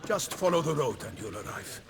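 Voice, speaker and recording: An actor playing a pompous, excitable, good-natured knight in a fantasy video game, speaking in a florid, theatrical manner. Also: male voice, on the high side, fairly quick, vocal fry. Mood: cheerful, proud. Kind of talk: video game cutscene acting.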